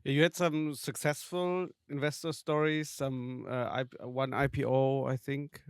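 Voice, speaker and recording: A male interviewer nudges his guest along with conversational questions. His voice is smooth and clear at a middle pitch. The sound is dry, with no room around it. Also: flat delivery, measured pace, dry recording.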